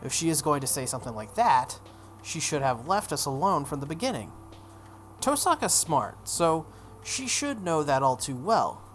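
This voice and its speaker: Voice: medium pitch, big pitch swings, normal speed, fairly bright tone, slight background noise. A video game playthrough host reading a story aloud dramatically, with casual offhand asides. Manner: acts out dialogue, breaks off for offhand comments.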